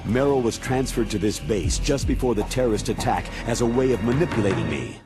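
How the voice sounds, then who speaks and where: An actor playing a weary, remorseful older military commander in a video game, earnest and grave. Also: male voice, mid-range voice, fairly quick, over music, close to an everyday voice. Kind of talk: dramatic video game cutscene acting.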